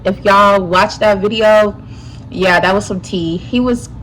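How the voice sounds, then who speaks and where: Young female vlogger, mid-pitch, stuffy-nosed, slangy and animated, faint hiss behind. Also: a little slow, poor audio. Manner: chatty, animated storytelling with lots of slang. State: stuffy nose. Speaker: YouTuber. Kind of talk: casual storytime.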